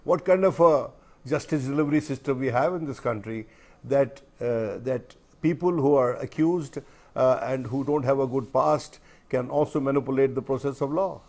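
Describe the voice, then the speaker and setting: A man with an Indian accent giving news panel commentary in a deliberate, halting way, often searching for words. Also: mid-range voice, a little mellow.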